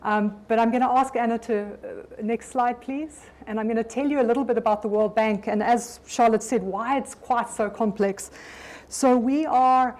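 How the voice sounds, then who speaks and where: A middle-aged South African woman speaking at a conference, her voice sitting a little high. She talks in an easy, conversational manner, stopping to restart her sentences now and then. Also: steady tone, normal speed, a little mellow. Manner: conversational, with frequent ums and restarts. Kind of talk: conference presentation. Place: conference room.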